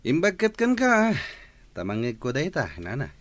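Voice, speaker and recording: A man's voice: fairly high pitch, dry recording. A man playing a drunken, aggrieved grown son in an Ilocano comedy radio drama, sulky, mumbling and bursting out, with an Ilocano Filipino accent.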